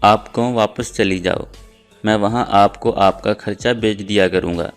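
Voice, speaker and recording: A man's voice: on the low side, steady tone, measured pace, soft background music, lightly acted. A man voicing an ungrateful grown son in a moral story, cold and dismissive.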